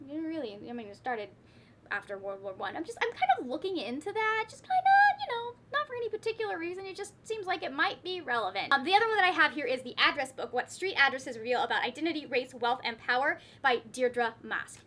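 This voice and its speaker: A woman's voice: high-pitched, expressive, fast, pushed and bright. A woman talking casually as a book vlogger, rambling and correcting herself with playfully wry asides. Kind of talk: casual chatty book talk to camera.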